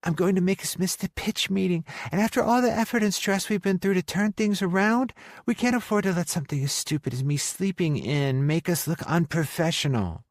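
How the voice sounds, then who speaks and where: A man's voice: high-pitched, lively intonation, fairly quick, no echo, studio quality, pitched above the performer's own voice. A man voicing a feisty, easily flustered young woman in romance audiobook dialogue. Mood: panicked. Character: young woman, flustered, sleepy then frantic, feisty girlfriend.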